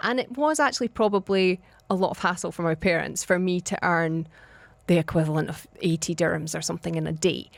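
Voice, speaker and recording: Radio host woman, clear studio sound. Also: fairly low pitch, dry recording. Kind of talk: radio talk show hosting. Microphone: studio microphone.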